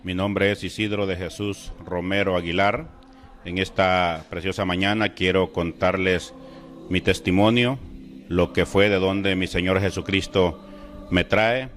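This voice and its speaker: A middle-aged man from El Salvador with a Salvadoran Spanish accent, slowly and solemnly telling his personal religious testimony. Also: deep voice, steady tone, fairly bright tone. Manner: slow, deliberate, solemn storytelling with emotional rises. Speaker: testimony speaker.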